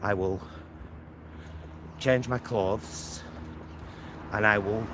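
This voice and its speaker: A man's voice: lowish voice, fairly even intonation, very slow. A man chatting casually in a rambling walking vlog, thinking aloud as he films himself outdoors. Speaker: vlogger. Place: outdoors, walking near a supermarket and roads. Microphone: handheld camera in selfie mode. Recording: loud outdoor street and traffic noise.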